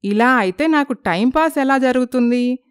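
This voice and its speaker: A woman voicing a sly, spiteful village gossip who schemes and eggs others on in an animated moral story. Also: sits high, moderate pace, clear, resonant tone, natural voice. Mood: scheming, puzzled.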